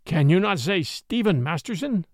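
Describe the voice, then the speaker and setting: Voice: medium pitch, sing-song, moderate pace, darkish tone, dry recording. A stern, pious American man, acted out by a narrator in a storybook reading. He speaks bluntly and earnestly, a little clipped and awkward, in a dry close recording. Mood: gentler.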